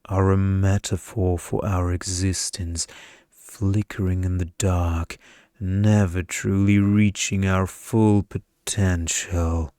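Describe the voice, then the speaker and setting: A man voicing a boring, seductive male energy vampire in a storybook, with a murmuring, hypnotic monotone. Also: sits low, slow, no echo, clean studio sound. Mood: hushed, philosophical. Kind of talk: comic ASMR romance storybook reading.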